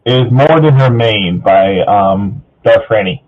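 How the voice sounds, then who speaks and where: A man's voice: on the low side, animated, measured pace. A man reading fan fiction casually with a group, stumbling over the odd word. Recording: thin phone-like voice chat.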